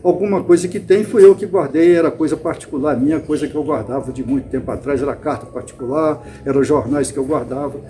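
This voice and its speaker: Older man reminiscing, mellow voice. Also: on the high side. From Brazil, Bahia. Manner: unhurried, with frequent restarts and repeated words. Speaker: interviewee, club founder. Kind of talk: reminiscing interview.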